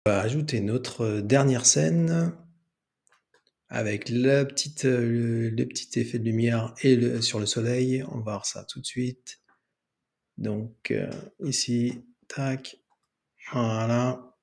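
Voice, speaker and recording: A man's voice: medium pitch, fairly even intonation, takes it slowly, creaky, darkish tone. A man hosting an improvised screen tutorial, hesitantly narrating each step aloud. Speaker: tutorial host.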